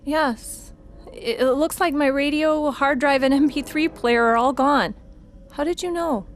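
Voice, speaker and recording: A woman's voice: sits high, unhurried, soft background music. A woman playing a sensible, friendly radio show host in a radio play, speaking in a casual, matter-of-fact way. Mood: shaken.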